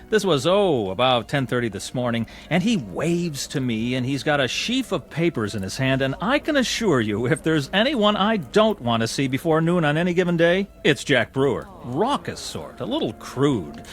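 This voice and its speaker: A man acts out a shallow, well-bred dandy holding forth in a radio drama. His affected talk swings widely in pitch and is full of self-regard. Soft music sits behind him. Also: close to an everyday voice. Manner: affected, mannered, talkative. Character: glib, shallow, boastful upper-class dandy club bore.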